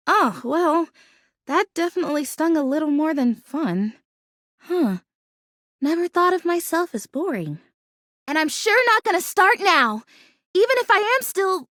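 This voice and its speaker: An actress voicing a kooky, touchy but hopeful plastic houseplant in a video game, with perky, dramatic delivery and folksy exclamations. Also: female voice, extremely high pitch, a bit bright, no room sound, clean studio sound, full character voice. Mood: hurt, awkward. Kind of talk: video game character voice acting.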